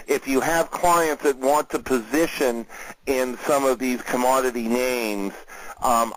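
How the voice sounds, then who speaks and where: A man giving unscripted market commentary as an analyst on a client call, talking in a measured, conversational way with frequent hesitant fillers. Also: medium pitch, no room sound, rough recording. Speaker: market analyst, host of a weekly conference call.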